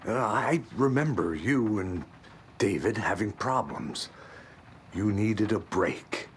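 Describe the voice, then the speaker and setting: Slow, brooding federal agent. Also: male voice, lowish voice, expressive. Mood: hesitant, somber. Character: weary, brooding male federal agent, hard-boiled and wary. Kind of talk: video game cutscene acting. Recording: light ambient background noise.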